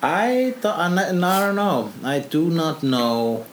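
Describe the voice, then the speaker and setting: A man co-hosting a casual comedy podcast, talking haltingly with many fillers and a dry sense of humor. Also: fairly high pitch, big pitch swings, a little hiss or hum. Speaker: podcast co-host and stand-up comedian.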